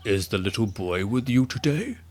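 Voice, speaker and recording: A man voicing a gruff, selfish but soft-hearted giant from a storybook, with music behind him. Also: very animated, moderate pace. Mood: anxious. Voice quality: great booming voice. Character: gruff selfish male giant, booming, later tender-hearted. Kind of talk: storybook character acting.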